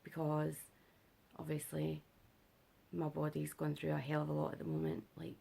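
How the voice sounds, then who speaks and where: A young British woman talks to camera in a low, deep register, her voice heavily gravelly and rough from illness. She rambles through a casual update full of fillers, sounding worn out and short on sleep. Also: completely flat delivery, moderate pace, mellow. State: unwell and sleep-deprived. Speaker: vlogger. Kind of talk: casual health update vlog.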